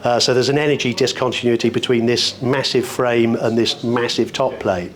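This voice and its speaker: A man's voice: mid-range voice, fairly even intonation, normal speed. A British man presenting products at a hi-fi show, talking off the cuff in an articulate, discursive, wryly enthusiastic way with florid wording. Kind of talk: unscripted product demonstration talk.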